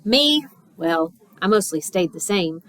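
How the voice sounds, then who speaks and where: A woman reading a picture book aloud like a teacher, with expressive narration, dramatic pauses and stretched-out key words. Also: lowish voice.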